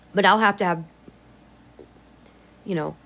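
A woman vlogging in a casual, rambling way, her voice a little raspy from allergies, blunt and free with swear words. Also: mid-range voice, a bit bright. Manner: chatty, blunt, swears freely. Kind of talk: casual rambling vlog chat.

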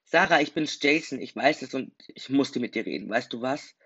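A man voicing an enraged young man who has just become a vampire, shouting accusations in a husky, gravelly voice. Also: lowish voice, level tone, brisk, dry recording. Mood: furious. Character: furious young man newly turned vampire. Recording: dull dry recording, faint music.

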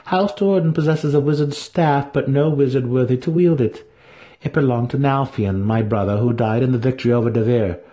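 A man voicing an imperious female dark elf high priestess in an audiobook fantasy tale, with a haughty, commanding manner. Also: normal speed, natural voice. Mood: haughty, bargaining.

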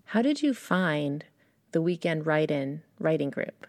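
A woman's voice: sits low, very animated, measured pace, a little mellow, dry recording, clean studio sound. A woman hosting a podcast, reading scripted introductions and interview questions.

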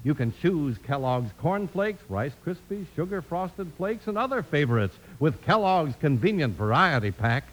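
A man's voice: fairly high pitch, big pitch swings, normal speed, few pauses, low-quality recording. An American man announcing on a 1950s radio show in an emphatic, polished, salesmanlike style. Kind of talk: 1950s radio announcing, scripted sponsor reads.

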